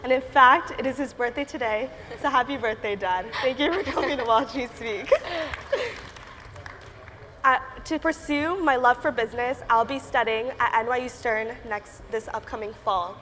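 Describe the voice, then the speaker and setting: A woman's voice: a little high, normal speed, a bit bright. Eighteen-year-old woman speaking in a hall. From Miami, United States. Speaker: student entrepreneur speaker. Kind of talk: prepared conference talk. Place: large conference hall stage. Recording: reverberant hall with faint ambience.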